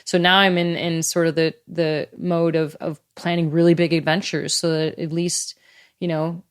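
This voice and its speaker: A woman in her fifties, a guest in a casual interview, talking in a chatty, lively way and laughing easily. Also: deep voice, moderate pace, clean tone. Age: 50s. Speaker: guest athlete.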